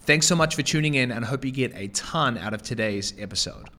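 A man's voice: medium pitch, expressive, a little fast, pushed and bright. A man with a New Zealand accent, host, reading a polished, upbeat scripted podcast intro. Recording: music bed under voice.

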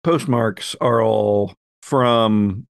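Slow-talking man running a tabletop game. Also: fairly low pitch, fairly even intonation, gravelly, no room sound, clean studio sound. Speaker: game master, host. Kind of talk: running a tabletop story game, narrating off the cuff.

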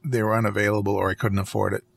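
A man with a fairly low voice, husky and full of creak, talks casually on a podcast. He is easygoing and often stops mid-sentence to reword what he is saying. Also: little pitch movement, rapid-fire. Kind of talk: casual conversational podcast chat.

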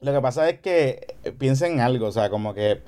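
A Puerto Rican man with a mellow, relaxed voice talks as a podcast guest. He explains in a casual, conversational way, peppering his speech with colloquial asides and English slang. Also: medium pitch, fairly quick, clear, resonant tone. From Carolina, Puerto Rico.

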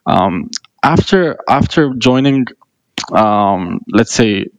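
A man's voice: on the low side, expressive, slow pace, no echo, low-quality recording. Earnest young man from Romania. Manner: earnest storytelling with filler ums. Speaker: guest, portfolio manager. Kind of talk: casual interview answers.

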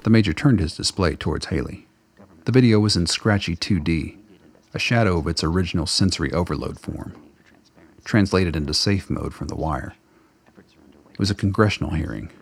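Very deep-voiced male narrator, slightly gravelly. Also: brisk. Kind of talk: scripted dramatic narration.

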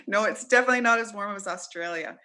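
A woman's voice: mid-range voice, pushed and bright. A middle-aged woman from Canada, chatting casually as an interview guest, with frequent ums and easy laughs.

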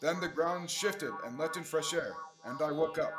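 A man's voice: a little high, normal speed, music in the background, lightly acted. A young man playing an eager, adventurous space hero in old-time radio serial style, earnest and full of gee-whiz enthusiasm. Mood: earnest, proud. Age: young adult. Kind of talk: 1930s radio serial acting.

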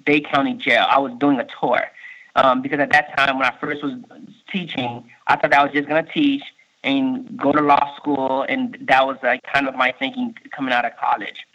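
A man's voice: medium pitch, level tone, bright, forceful tone. Haitian-born man with a Miami accent. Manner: halting, many fillers and restarts. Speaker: state workforce official, guest. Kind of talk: conversational interview answers. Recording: muffled lo-fi recording.

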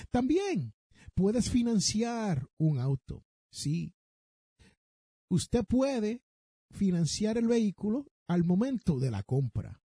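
A Puerto Rican man presents a podcast monologue in a high voice that swings widely up and down. The tone is husky and soft-edged. He speaks emphatically, addressing listeners directly and dropping in English terms. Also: dry recording, studio quality. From Puerto Rico. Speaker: personal finance podcast host. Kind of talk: podcast monologue giving money advice.

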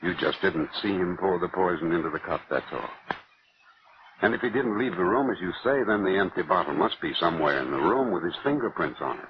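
An actor playing a calm, solicitous doctor and confidant in 1940s radio drama style. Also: male voice, low voice, brisk, natural voice. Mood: soothing, concerned. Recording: muffled, faintly noisy vintage radio recording.

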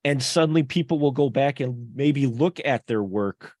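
A man's voice: medium pitch, moderate pace, a little mellow, no room sound, clean studio sound. A man talking casually as a panelist on a podcast, conversational and often checking in with the listeners.

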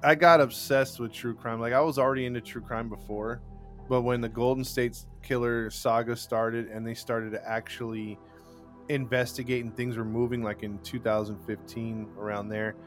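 A man in his forties co-hosting a casual podcast, talking excitedly, stammering and repeating words, and getting loud when he laughs. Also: medium pitch, normal speed, soft background music. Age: 40s. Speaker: podcast co-host. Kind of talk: casual live podcast chat.

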